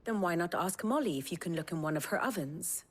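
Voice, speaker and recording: An actress playing an imperious, dry-witted scholar of war in a video game, lecturing in a British accent. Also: female voice, on the low side, expressive, a little fast. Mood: sardonic. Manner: exacting, sardonic, lecturing. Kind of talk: video game cutscene acting, measured exposition. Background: prominent music.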